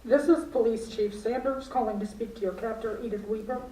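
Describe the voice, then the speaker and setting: Woman playing formal police chief in a radio drama; higher, mellow voice, echoey and distant. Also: fairly even intonation, natural voice. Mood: stern, official. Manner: formal, official, measured. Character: stern female police chief negotiating by telephone. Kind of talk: student radio play acting. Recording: echoey room, far from mic.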